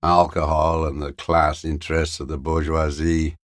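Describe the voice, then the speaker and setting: An actor playing a bitter, paranoid old communist deserter in a video game, with a weary, gravelly voice and a halting, brooding, contemptuous mutter. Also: male voice, rumbling low, level tone, dry recording, clean studio sound. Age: older. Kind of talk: video game character voice acting.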